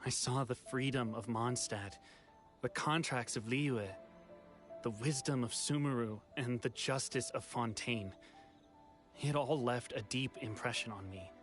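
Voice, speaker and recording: Casting: actor as wistful returned runaway, young man, anime game, mid-pitched, heartfelt, light music bed. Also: a little slow, lightly acted. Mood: wistful, regretful. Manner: wistful, sincere. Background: background music.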